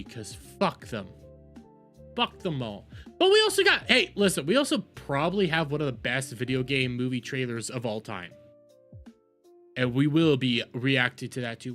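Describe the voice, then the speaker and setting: A man's voice: a little high, a bit bright. A man hosting a casual live reaction stream, talking bluntly with swearing and repeating phrases for emphasis. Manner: blunt, profane, opinionated.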